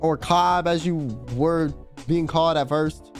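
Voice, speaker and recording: A man's voice: on the high side, fairly even intonation, measured pace. A young man streaming a casual late-night chat, rambling in slang and reading donations aloud. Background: faint background music.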